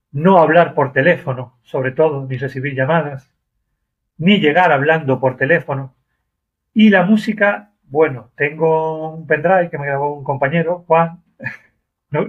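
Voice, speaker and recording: A man's voice: a little high, husky. A man, a driver being interviewed, speaking in an earnest, emphatic conversational way and repeating himself. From Argentina. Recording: dull lo-fi audio.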